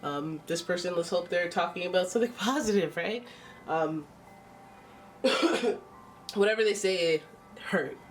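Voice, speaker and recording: Woman, stuffy nose, casual tarot reading, exclamatory, slightly distant mic, soft background music. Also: lowish voice, measured pace, pauses often. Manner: chatty and exclamatory, thinking aloud. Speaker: tarot reader.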